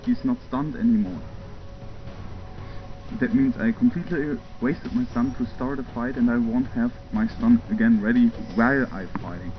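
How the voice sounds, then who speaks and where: A man's voice: medium pitch, moderate pace, over music. A young German man giving casual, rambling commentary over gameplay footage, full of fillers and little chuckles. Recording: muffled home mic with game sounds.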